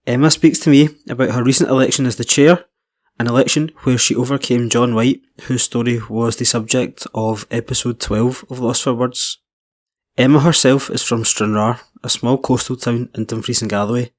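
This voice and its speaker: A man in his twenties hosting a podcast, reading a measured intro and then chatting friendly with his guest. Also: medium pitch, fairly even intonation. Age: 20s. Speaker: podcast host. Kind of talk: scripted intro then casual interview.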